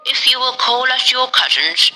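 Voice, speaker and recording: A man playing a strange, helpful old spinner woman with a creaky voice in a children's fairy tale. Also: very high voice, lively intonation, moderate pace, pushed and bright, soft background music, full character voice, rough recording. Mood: offering help.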